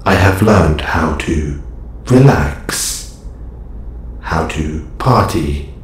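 A calm robot superhero, acted by a man for an audio roleplay, low in pitch and very unhurried. The phrasing is stilted and formal, and the voice rings in a roomy echo. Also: exaggerated voice. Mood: earnest, wistful. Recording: roomy echo, slight background noise.

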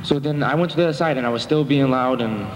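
A man's voice: mid-range voice, quick pace. A young man speaking as a defendant in court, rambling and defensive, with many fillers. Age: young adult. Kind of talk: testimony in court. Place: municipal courtroom. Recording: echoey courtroom, faint noise.

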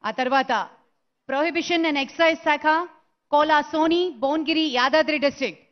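A woman announcing recruits' names one by one at an award ceremony, in a slow, measured way. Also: sits high, steady tone. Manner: slow, measured name-calling into a PA. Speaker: event announcer. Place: large stadium ceremony. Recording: dull lo-fi broadcast sound.

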